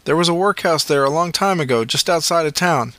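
A man voicing a worried male paramedic who barks at the driver and calms his injured patient. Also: a little high, brisk, no room sound, voice pushed up. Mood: somber. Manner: urgent, calls the patient buddy. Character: worried male paramedic, urgent but comforting.

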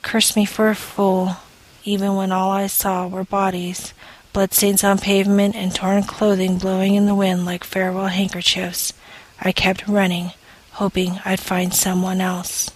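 A woman reading scripted audio fiction in a measured, deliberate manner, phrase by phrase. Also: medium pitch, monotone, low-quality recording. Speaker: story reader.

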